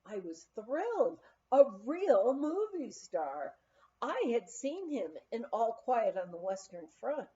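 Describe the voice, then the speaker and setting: A woman reading a memoir aloud in an expressive storytelling style. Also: a little high, slow pace, dull audio. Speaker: audiobook reader.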